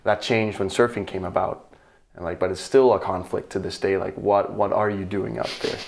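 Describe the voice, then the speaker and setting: A man's voice: sits low, steady tone, normal speed, vocal fry, a little mellow. Danish man, reflective podcast guest. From Denmark. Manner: reflective, searching for words, laughs easily. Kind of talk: casual conversation.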